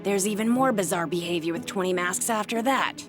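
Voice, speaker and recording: A woman plays a methodical young investigator in an anime dub. The voice is mid-pitched, composed and self-assured, with courteous phrasing. Soft music is behind it. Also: a little fast, natural voice. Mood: serious.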